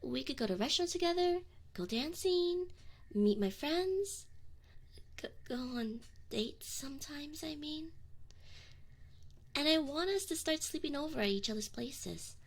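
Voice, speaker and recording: A woman's voice: high-pitched, expressive. A woman playing a young tsundere succubus in an intimate ASMR roleplay, prickly and defensive, with affection and neediness showing through. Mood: earnest, tender. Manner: defensive, teasing, halting when admitting feelings. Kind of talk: scripted ASMR roleplay acting, intimate direct address.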